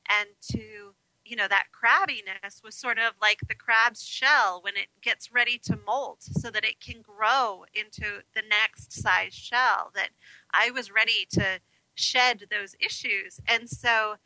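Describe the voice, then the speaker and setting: Very bright, high-voiced American woman. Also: unhurried, dry recording, low-quality recording. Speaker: radio guest, life coach. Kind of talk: conversational interview answers.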